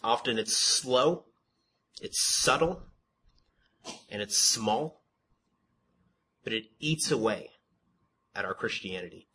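Husky-voiced man teaching a Bible study. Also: fairly low pitch, slow, pauses often, poor audio. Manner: self-described monotone, repeats words and restarts, asks rhetorical questions. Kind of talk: conversational sermon teaching.

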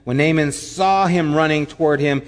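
A pastor giving a conversational sermon with humorous asides. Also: male voice, a little high, moderate pace, in a large hall.

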